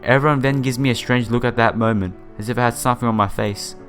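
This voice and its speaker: A man's voice: mid-range voice, steady tone, fast, a bit bright. Informal male gamer over background music. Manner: informal, stumbles over words when reading. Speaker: gaming video host. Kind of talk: visual novel read-aloud with casual commentary. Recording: music behind the voice.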